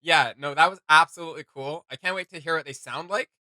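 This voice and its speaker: A man co-hosting a reaction video, chatty and excitable, rambling with many restarts. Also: a little high, fast, dry recording. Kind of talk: casual reaction chat.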